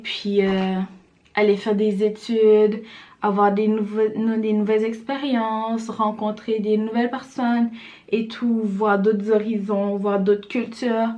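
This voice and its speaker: A young woman talks loosely and casually to her camera while putting on makeup. Her chat is relaxed and rambling, sprinkled with fillers, in a middle-pitched voice. She sounds like she is in a room at home. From Senegal. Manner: chatty, loose, full of fillers like "genre". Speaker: vlogger.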